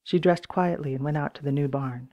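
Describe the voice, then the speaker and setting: A woman's voice: low voice, brisk, warm tone, lo-fi sound. A woman reading a children's story aloud as plain scripted audiobook narration. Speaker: audiobook reader.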